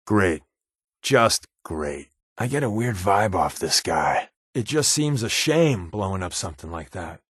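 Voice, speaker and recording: Game companion, male: wisecracking secret agent, sardonic and conversational, animated inflection, close dry recording. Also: mid-range voice, no room sound. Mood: wry, wary. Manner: glib, dry sarcasm, conversational. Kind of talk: video game companion dialogue.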